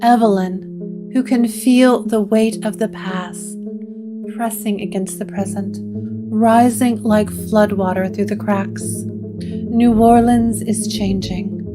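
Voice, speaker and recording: Woman narrator, slow dramatic hushed delivery, guitar music in the back. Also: medium pitch, steady tone. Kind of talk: scripted gothic narration.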